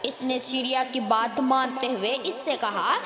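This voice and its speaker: A woman's voice: high voice, steady tone, a little slow, bright, forceful tone. A woman hosting a storytelling channel, narrating a scripted moral story. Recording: echoey hall-like reverb, crowd-like background noise.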